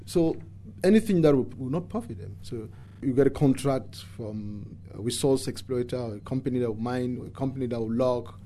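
A man from Cameroon with a Cameroonian accent gives earnest, halting interview answers, often repeating words for emphasis. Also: mid-range voice, mellow. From English-speaking Cameroon. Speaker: environmental activist and interviewee.

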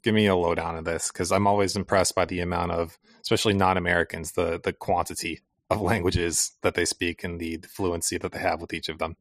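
Deep-voiced curious male podcast host. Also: flat delivery, brisk, few pauses, dry recording. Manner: inquisitive, stumbling restarts. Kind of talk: casual podcast interview.

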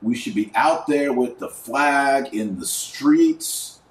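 A man's voice: mid-range voice, animated, takes it slowly, echoey room, far from the mic. A man hosting a religious commentary podcast, speaking emphatically and indignantly, with rhetorical questions and sarcastic asides.

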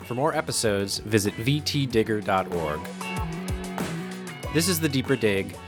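A male podcast host asking interview questions in a clear, measured way and reading the show's outro. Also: on the low side, expressive, over music.

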